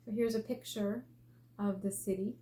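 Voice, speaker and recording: A woman teacher reading aloud to children in an expressive way, asking questions and drawing out key words for emphasis. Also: mid-range voice, normal speed. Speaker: elementary school teacher. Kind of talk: read-aloud lesson for children. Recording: faint background noise.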